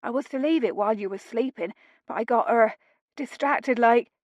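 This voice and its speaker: Woman playing an excitable chatty housemaid. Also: fairly high pitch, no room sound, voice pushed up, lightly acted. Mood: excited. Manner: breathless, gossipy, quick.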